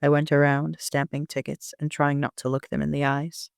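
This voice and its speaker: A man's voice: a little high, monotone, normal speed, gravelly, clear, resonant tone, no room sound, clean studio sound. A man reading scripted first-person story narration as an amateur author.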